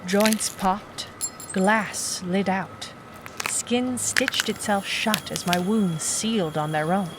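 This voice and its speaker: An actress playing a terse, suspicious female private eye with no memory, deadpan in a noir audio drama. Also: mid-range voice, slow, noisy background, close to an everyday voice. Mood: grim deadpan. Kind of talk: noir detective audio drama, narration and dialogue. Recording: music playing behind the voice. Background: music.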